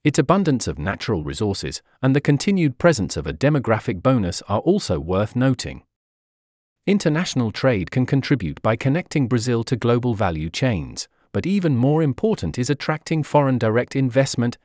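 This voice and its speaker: An older man from the Netherlands giving measured, formal answers as an interviewee. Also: mid-range voice, a little mellow, dry recording, studio quality.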